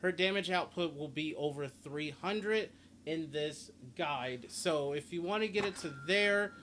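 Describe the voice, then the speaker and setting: A man with a slightly high voice talks through a game guide in a casual, rambling way. He often restates his points as he goes. There is some noise behind him on the recording. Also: measured pace, bright, forceful tone. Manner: rambling, repeats and rephrases himself. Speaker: gaming video host. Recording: clearly noisy home recording.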